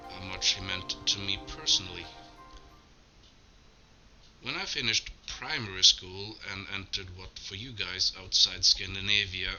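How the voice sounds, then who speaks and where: Norwegian man, Norwegian native, slightly low voice, very slow solemn tribute read, music bed behind. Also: sharp tone, low-quality recording. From Norway. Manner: slow, measured and solemn, with small self-corrections. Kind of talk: scripted spoken tribute.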